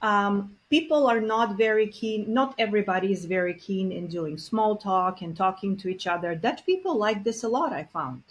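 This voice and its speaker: A woman's voice: mid-range voice, expressive, normal speed, few pauses. Earnest woman. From Bulgaria. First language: Bulgarian. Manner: earnest, reflective, many fillers. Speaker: guest expert on multilingual parenting. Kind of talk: conversational interview.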